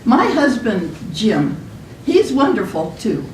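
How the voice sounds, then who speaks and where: A woman performs a demure teacher in a readers theater comedy, all false sweetness. Her slightly high voice is lively in pitch and very measured. The recording is roomy and distant. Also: slight background noise, full character voice. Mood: demure, sly. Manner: drawn-out, falsely sweet politeness. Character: sweetly polite woman schoolteacher hiding her scorn.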